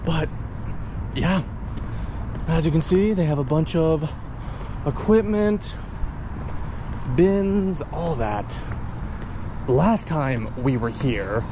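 A man in his thirties from Canada filming a casual vlog outdoors, talking chattily, cursing often and mocking himself. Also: a little high. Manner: chatty, swears a lot, self-mocking. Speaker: urban explorer vlogger. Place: outdoors by a busy road, windy and rainy. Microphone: handheld camera at arm's length. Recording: loud outdoor noise with traffic.

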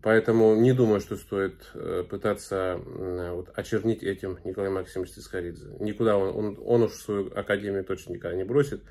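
A man's voice: on the low side, fairly even intonation, fairly quick, few pauses, dark tone. A man in his thirties talking to camera in a small room, giving earnest commentary with frequent filler sounds and restarts. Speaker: video blogger.

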